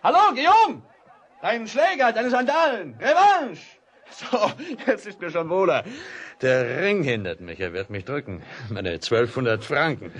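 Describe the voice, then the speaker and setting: An actor playing an elegant young French bridegroom in a radio play, boastful, impulsive and hot-headed, given to exclamations. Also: male voice, fairly high pitch, very animated, a little slow, fairly bright tone, exaggerated voice. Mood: exuberant, excited. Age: young adult. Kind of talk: radio play dialogue acting.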